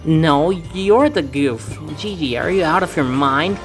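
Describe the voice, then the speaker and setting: Man voicing a game playthrough over loud music. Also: a little high, lively intonation, unhurried. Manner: reads game text aloud and voices characters, with chatty asides and stumbles. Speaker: let's play fan dubber. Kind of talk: casual game playthrough with voiced dialogue.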